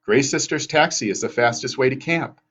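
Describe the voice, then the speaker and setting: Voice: mid-range voice, moderate pace, a bit bright, muffled recording, voice pushed up, lightly acted. A narrator voicing a bossy, insistent teenage girl with a matter-of-fact, urgent manner in a storybook reading.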